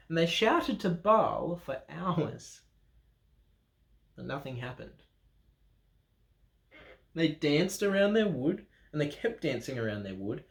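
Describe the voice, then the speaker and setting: A man's voice: on the high side, creaky. An Australian man reading a children's picture book aloud at a church, with playful chatty asides. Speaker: children's story reader. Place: church room. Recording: roomy echo.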